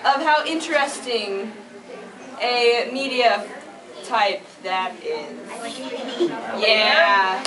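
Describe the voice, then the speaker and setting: A high-pitched woman hosts a fan convention panel in an informal, bantering way. She is recorded from a distance in an echoey room. A crowd is audible around her. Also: very slow, pauses often. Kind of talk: playful live panel banter. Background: audience chatter.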